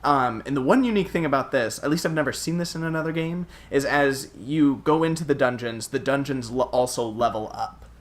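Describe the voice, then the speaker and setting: A man's voice: medium pitch, brisk, few pauses. A man co-hosting a podcast, chatting casually about video games. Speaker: podcast co-host.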